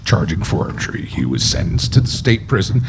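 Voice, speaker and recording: A man's voice: deep voice, big pitch swings, moderate pace, creaky, noisy background. A man making formal scripted announcements in the style of a 1950s radio crime show. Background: loud live orchestra music.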